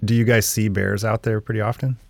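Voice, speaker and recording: A man's voice: fairly low pitch, level tone, a little fast, gravelly, no room sound, clean studio sound. A man asking questions as a reporter in a casual, tentative and loosely phrased conversational way. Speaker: interviewer, reporter.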